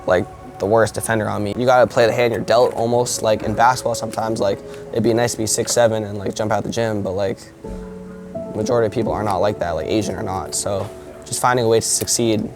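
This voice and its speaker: Young man, from Canada, Korean first language, low voice, monotone, laid-back, guitar music behind. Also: quick pace. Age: young adult. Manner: low-key, many "like" fillers. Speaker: college basketball player. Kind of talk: casual documentary interview.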